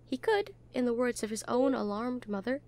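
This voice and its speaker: A woman with a high voice reads literary prose aloud. She phrases it expressively, in the manner of a story narrator. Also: very animated, normal speed, a little mellow, a little hiss or hum. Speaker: audio roleplay voice actor reading a novel aloud. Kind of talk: expressive literary book reading.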